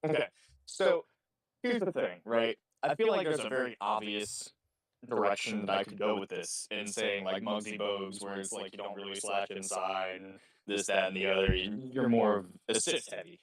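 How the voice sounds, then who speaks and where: A man answering interview questions casually, explaining himself in measured short phrases. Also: fairly low pitch, poor audio. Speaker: interviewed voice actor.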